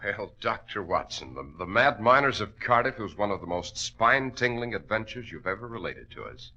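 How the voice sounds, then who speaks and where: A man's voice: fairly low pitch, sing-song, moderate pace, bright, forceful tone, highs cut off. A man announcing as host of a radio show in a 1940s style. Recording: old 1940s radio recording.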